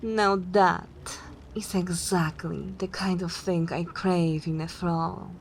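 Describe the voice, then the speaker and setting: A woman's voice: lowish voice, steady tone, slow, vocal fry, slight background noise. A woman playing a haughty, seductive vampire mistress in an ASMR roleplay, teasing and self-assured in a theatrical way. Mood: seductive, pleased.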